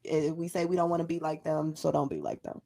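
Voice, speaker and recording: A woman's voice: low voice, fairly even intonation, fast talker, vocal fry, mellow. A woman with an American accent chatting as a podcast co-host, speaking emphatically and straight to the listeners. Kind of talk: casual chat.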